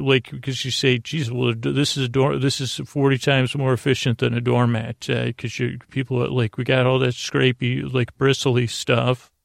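A man with a heavily gravelly, creaky voice delivers an improvised bedtime podcast monologue. His pitch stays fairly even as he meanders, stumbling and repeating words. The recording is dry and close. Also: dry recording. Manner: deliberately slowed-down delivery. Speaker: podcast host.

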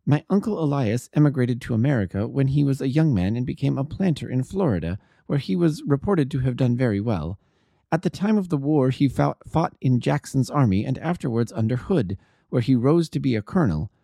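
A man reading the part of an anxious, refined young gentleman in his early twenties, earnest and polite, in an audiobook. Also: mid-range voice, animated, brisk, vocal fry, dry recording, clean studio sound. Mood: earnest, explaining. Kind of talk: audiobook character dialogue.

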